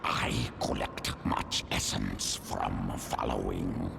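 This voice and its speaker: A huge primal alien beast from a video game. The voice is extremely deep and crackles with gravel, guttural and terse. Words drag out very slowly over heavy noise. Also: male voice, fairly even intonation, exaggerated voice. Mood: menacing calm. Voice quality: guttural, growling. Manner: slow, terse, broken primitive phrasing. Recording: very noisy, rumbling game sound.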